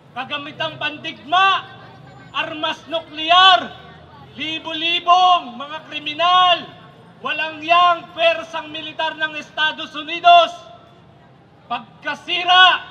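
A middle-aged man with a Filipino accent declaiming a measured protest speech into a stand microphone outdoors. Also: very high-pitched, pushed and bright. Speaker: protest speaker. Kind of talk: rally speech. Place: outdoor protest rally stage. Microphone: stand microphone through PA. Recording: muffled rally PA with faint noise.

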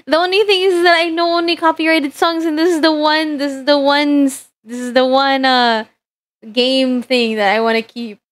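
Young woman VTuber from the Philippines, Tagalog first language; casual, giggly stream chatter, slightly high voice. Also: steady tone, normal speed. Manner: chatty, giggly, lots of fillers. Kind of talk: casual live-stream chat while working.

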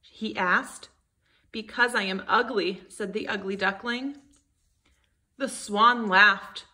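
Expressive kindergarten teacher, bright woman's voice. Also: medium pitch, takes it slowly. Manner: expressive, talks to young children with questions. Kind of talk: children's storybook read-aloud.

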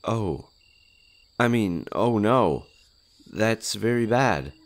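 A shy skinwalker monster, played by a man, with a low male voice and slow, hesitant speech. He stammers and gets flustered, a friendly, nervous creature in an intimate audio roleplay. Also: lively intonation, heavily acted. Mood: flustered, awkward.

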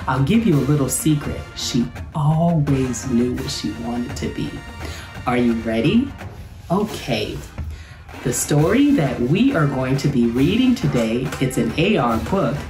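A middle-aged woman, a teacher, reading aloud to children in an expressive, deliberate storytelling way. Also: a bass voice. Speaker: school teacher reading to pupils. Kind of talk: animated children's read-aloud. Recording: roomy, echoing indoor sound.

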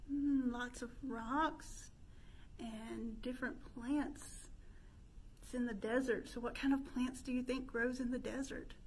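High-pitched, slow-talking woman leading children's storytime. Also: darkish tone, a little hiss or hum. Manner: sing-song, addressing young children with questions. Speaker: children's librarian hosting storytime. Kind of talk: children's storytime, interactive pretend play. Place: indoor room with stone fireplace.

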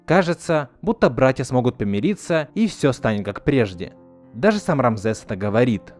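Animated male video essayist over music. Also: mid-range voice, normal speed. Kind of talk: scripted video essay narration. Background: background music.

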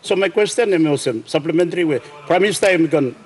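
Brief: middle-aged Papua New Guinean man, fairly high voice, halting question-time delivery at a desk mic. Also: expressive. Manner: halting, many fillers and restarts. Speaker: provincial governor asking a question. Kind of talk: parliamentary question time, mixing Tok Pisin. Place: large parliament chamber. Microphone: desk mic behind a plexiglass screen.

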